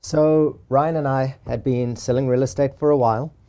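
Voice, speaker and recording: A man with a South African accent, a real estate agent explaining his business in a measured way during an interview. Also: mid-range voice, steady tone, dark tone. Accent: South African with a Boston tinge. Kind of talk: interview conversation.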